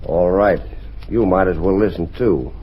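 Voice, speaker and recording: A man playing a calm, matter-of-fact police detective in an old radio drama. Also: low voice, unhurried. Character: steady male police detective. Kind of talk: 1940s radio acting. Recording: dull old radio recording with faint hiss.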